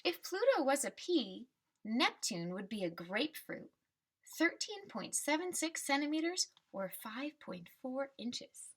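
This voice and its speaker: A woman reading a children's picture book aloud and explaining it simply, in a deliberate teaching manner. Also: sits high, sing-song.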